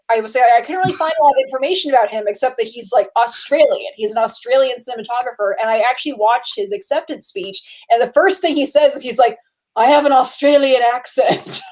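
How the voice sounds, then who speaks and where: A woman's voice: on the high side, a little mellow, low-quality recording. A woman hosting a casual movie podcast, chatty and a little tired, with frequent filler words and laughs. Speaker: podcast host. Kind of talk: casual chat.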